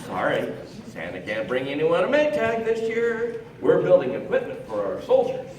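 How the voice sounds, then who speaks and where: An actor playing a wry, protective piano-player sidekick in a colloquial 1940s-style stage show. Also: male voice, high voice, sing-song, normal speed, darkish tone. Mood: amused, knowing. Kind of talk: live stage radio play, 1940s film parody. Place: theatre stage, live performance. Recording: very echoey, distant, noisy stage recording.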